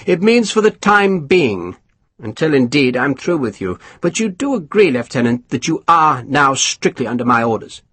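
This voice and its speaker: A man's voice: medium pitch, very animated, moderate pace, a bit bright, breathy edge, no room sound. A man voicing a pompous, scheming British colonel who talks down to others in a haughty, snappish way, reading for an audiobook. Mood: condescending. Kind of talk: audiobook character voice.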